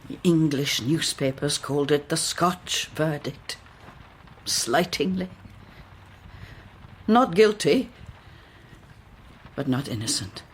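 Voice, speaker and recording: A woman's voice: sits low, lively intonation, slow pace, pauses often, slight background noise. Stern older Victorian mother. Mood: grave. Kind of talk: period radio drama acting.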